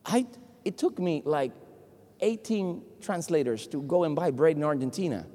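A man from Puerto Rico, Spanish his first language, with a Puerto Rican accent, preaching an animated, joking sermon to a congregation. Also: fairly high pitch, normal speed. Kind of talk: lively sermon. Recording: long hall reverb.

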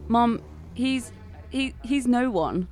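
A woman's voice: on the high side, animated, takes it slowly, a little mellow. A young woman playing a concerned, defensive nineteen-year-old in a fan-made audio drama.

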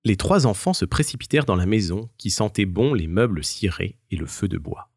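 A man narrating a scripted children's story as an expressive storyteller. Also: fairly low pitch, a little fast, husky, no room sound, studio quality.

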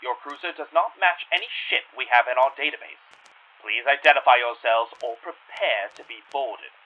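A man's voice: mid-range voice, normal speed, phone-quality sound. A man playing a stern, menacing Imperial starship officer in a space audio drama, giving clipped formal orders through a comm radio filter. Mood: cold, threatening. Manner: clipped, formal military commands.

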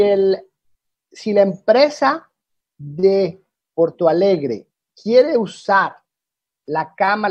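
A man's voice: high-pitched, darkish tone, no room sound. Portuguese-accented man giving a dry lecture. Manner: explanatory, with frequent restarts and fillers. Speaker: arbitration lecturer. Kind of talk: webinar presentation. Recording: dry, dull lo-fi sound.

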